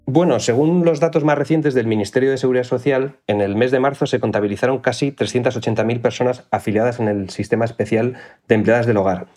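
A man's voice: mid-range voice, quick pace, mellow. A man working as an economics reporter, giving careful, explanatory interview answers with some fillers.

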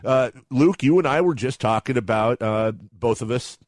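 A man with a bassy voice hosting a casual sports talk interview, chatty, informal and digressive. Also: normal speed, darkish tone, dry recording, clean studio sound. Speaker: radio show host, interviewer.

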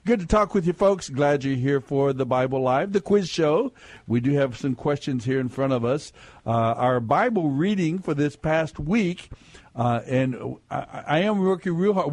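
A man's voice: medium pitch, a little mellow, dry recording. Male call-in radio host, folksy and rambling, dry close mic. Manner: rambling, folksy, restarts and fillers, laughs easily. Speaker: radio quiz show host. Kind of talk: casual call-in radio talk.

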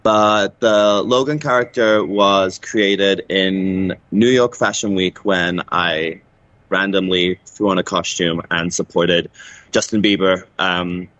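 A man's voice: low-pitched, fairly even intonation, slow, fairly bright tone, dry recording, highs cut off. A man from Liverpool in England, a cabaret MC, talking casually as an interview guest.

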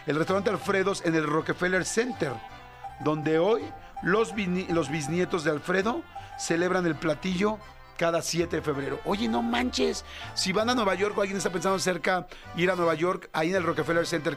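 Joking Mexican radio host, fairly high voice. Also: male voice. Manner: rambling and joking, with playful asides. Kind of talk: casual morning radio chat.

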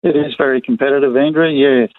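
A man's voice: mid-range voice, steady tone, fast, no echo. An older Australian man speaks as an interview guest over a narrow, phone-like line. His voice sits in the middle range and the sound is dry. He is plain-spoken and conversational, breaking his answers into short phrases. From far north Queensland, Australia. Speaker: interview guest, retired sugarcane farmer.

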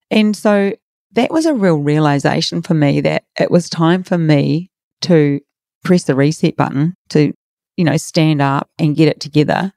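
A middle-aged woman with a raspy, hoarse voice, hosting a motivational podcast in an earnest, encouraging way. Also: low voice, mellow, dry recording, studio quality. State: hoarse from a workshop. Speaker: podcast host and coach.

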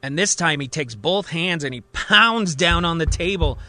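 A man's voice: fairly high pitch, expressive, moderate pace, bright, forceful tone, dry recording. A man narrating a fiction podcast as its host, telling the story in a dramatic, suspenseful present tense.

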